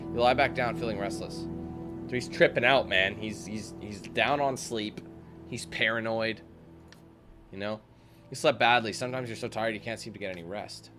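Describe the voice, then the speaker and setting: Chatty man narrating his gameplay. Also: lowish voice. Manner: chatty, thinks out loud, talks to viewers as squad. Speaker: gaming YouTuber. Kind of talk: let's-play commentary mixed with reading game text aloud. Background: game music.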